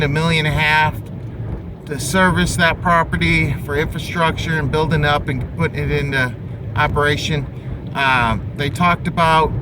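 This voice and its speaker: A man with a slightly high voice talks through his opinions on investing, off the cuff. His delivery is halting, as if thinking aloud, and there is clear vehicle-like noise behind him. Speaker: amateur investor commentator. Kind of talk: unscripted opinion monologue on investing. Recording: clearly noisy, vehicle and outdoor-like background.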